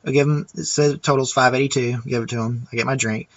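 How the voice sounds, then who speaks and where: A man's voice: medium pitch, fairly even intonation, fast, dry recording, lo-fi sound. A man vlogger ranting casually to camera, chatty and rambling with restarts.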